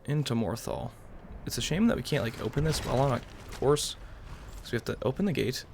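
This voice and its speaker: A man's voice: medium pitch, lively intonation, a little fast, creaky, slight background noise. A man giving casual, rambling commentary over a roleplay game playthrough in a wry manner. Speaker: gaming YouTuber.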